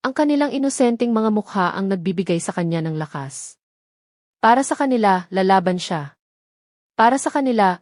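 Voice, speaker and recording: Filipino lady reading a drama story, mid pitch voice, no room echo. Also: a little mellow, clean studio sound. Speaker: audio story narrator. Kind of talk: scripted dramatic story narration.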